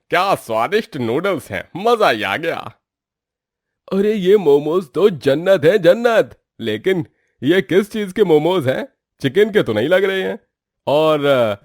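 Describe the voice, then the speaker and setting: A man's voice: a little high, very animated, a little slow, no echo, studio quality. A man voicing an emphatic, exclaiming character in a moral story cartoon, with exaggerated dramatic delivery. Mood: amazed, curious.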